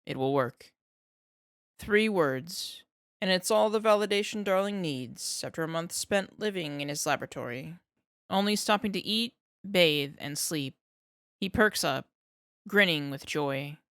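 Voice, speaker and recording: A woman reading fan fiction aloud in a steady, measured narration. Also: on the low side, clean tone, dry recording, clean studio sound. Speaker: podfic reader.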